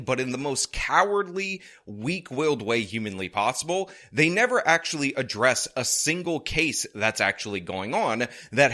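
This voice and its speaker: A man delivering a political commentary segment with a bright, animated voice. He talks in a scornful, emphatic way, hitting his points hard as he reacts to the clip. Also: mid-range voice.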